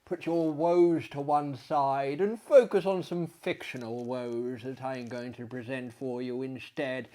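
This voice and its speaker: A man in his thirties reading a literary tale aloud in a theatrical, gentlemanly manner, with dramatic pauses and chatty asides. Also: mid-range voice, steady tone. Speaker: storyteller, host. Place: small book-lined living room, indoors.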